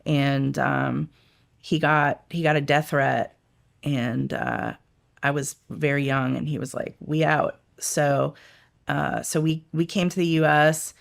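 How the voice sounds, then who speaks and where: Gravelly, halting middle-aged woman. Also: deep voice, fairly even intonation. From United States, raised in Washington DC area. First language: Italian. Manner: halting, many restarts and fillers. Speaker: guest, former intelligence analyst. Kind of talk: casual interview talk.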